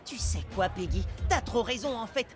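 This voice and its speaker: An actor voicing an earnest preteen boy amoeba, the hero of an animated cartoon, with an expressive, slangy way of talking. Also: medium pitch, moderate pace, music in the background, lightly acted.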